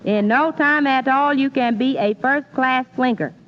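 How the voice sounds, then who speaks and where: An actress playing a gullible, excitable, folksy woman in old-time radio comedy, full of exclamations. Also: high voice, a little slow. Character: scatterbrained, excitable comic lady, folksy and gullible. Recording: noisy, muffled old radio recording.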